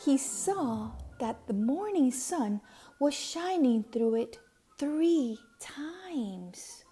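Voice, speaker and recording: A woman reading a children's storybook aloud with expressive, deliberate emphasis on key words. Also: sits high, faint music behind. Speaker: storybook reader.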